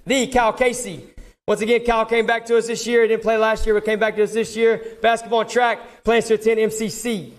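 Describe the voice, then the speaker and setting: A man's voice: sits high, flat delivery, brisk. A man speaking as a coach at an award ceremony, informally reading out a roster to the audience. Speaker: football coach presenting awards. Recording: live event, crowd noise, clear background noise.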